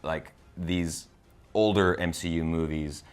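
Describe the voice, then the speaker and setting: A man's voice: sits low, steady tone, slow pace, clean tone. A man co-hosting a casual film discussion, enthusiastic and slangy in the way he talks. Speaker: co-host of a movie review show.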